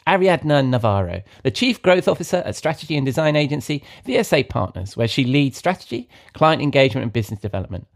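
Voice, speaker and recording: A middle-aged man hosting a podcast in a chatty, conversational style. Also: medium pitch. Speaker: podcast host.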